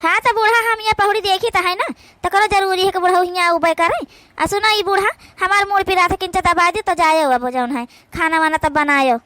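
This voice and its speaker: A woman's voice: very high-pitched, steady tone, fairly quick, pushed and bright, no echo. A woman voicing a haughty, lazy young village daughter-in-law in a comedy cartoon, bossy and scolding with an Awadhi accent. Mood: demanding. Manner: imperious, scolding.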